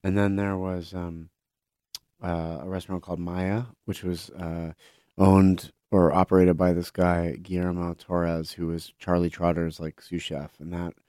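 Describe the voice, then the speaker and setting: A man's voice: sits low, level tone, a little slow, mellow, smooth, no room sound, studio quality. A man, a chef, talking loosely in a casual interview, full of likes and dudes. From born in Israel, raised in Pittsburgh. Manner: loose, says like and dude a lot. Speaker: chef, guest.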